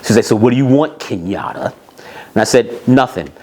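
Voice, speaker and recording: Animated male storyteller with swooping pitch. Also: a little fast. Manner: emphatic, repeats phrases, acts out dialogue. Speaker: storyteller, music industry executive.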